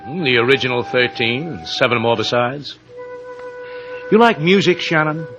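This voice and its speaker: A man plays a cold crime boss in a vintage radio play. His middle-pitched voice is controlled, sardonic and menacing, with music clearly behind it. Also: animated, normal speed, dull audio, natural voice. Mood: smug menace. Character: cold, self-assured gangster boss, menacingly soft-spoken. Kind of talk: 1950s radio drama acting.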